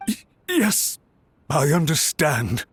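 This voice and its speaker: Deferential bureaucrat, higher pitched, raspy edge, anxious, humble, pleading, video game character. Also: male voice, sing-song, slow, soft background music, heavily acted. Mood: cowed, grateful. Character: anxious, deferential male government official pleading apologetically.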